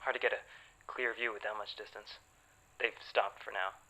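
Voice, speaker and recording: A man playing an eager, stubborn astronomer in an audio drama, with an excitable, insistent manner. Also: on the low side, normal speed, rough recording. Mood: intrigued. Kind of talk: modern audio drama acting.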